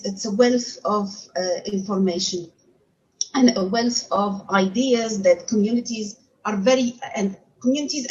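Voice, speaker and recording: A woman's voice: mid-range voice, a little hiss or hum. A woman in her thirties from Egypt, a native Arabic speaker, giving a talk as a university researcher from her home over a video call, with frequent fillers and restarts. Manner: hesitant, many filler sounds and restarts. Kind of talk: remote talk to an audience. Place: home room, seated in armchair. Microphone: webcam on video call. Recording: slightly dull lo-fi sound.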